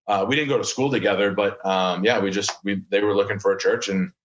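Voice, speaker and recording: A man's voice: fairly low pitch, steady tone, fast talker, highs cut off. A man in his thirties, a podcast guest, talking casually and rambling with lots of fillers and restarts. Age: 30s. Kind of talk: casual chat.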